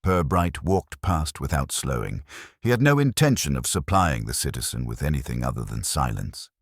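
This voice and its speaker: A man narrating a mystery story from a script in a measured, precise, literary manner. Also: very deep voice, big pitch swings, gravelly, dry recording, studio quality.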